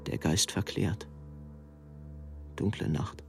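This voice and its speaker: A man giving a measured, reflective literary reading of letters and verse. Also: sits low, steady tone, gravelly, music in the background.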